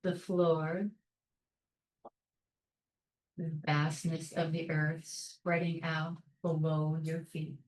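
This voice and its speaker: Slow, low-voiced woman guiding meditation. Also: echoey room, poor audio. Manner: calm, soothing, measured with long pauses. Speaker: meditation guide. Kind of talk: guided meditation, soft instruction.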